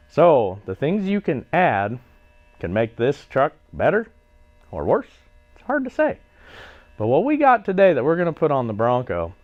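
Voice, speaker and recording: Hoarse joking male vlog host. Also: on the high side, dry recording, muffled recording. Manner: folksy, joking, tongue-in-cheek. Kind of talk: casual product review vlog.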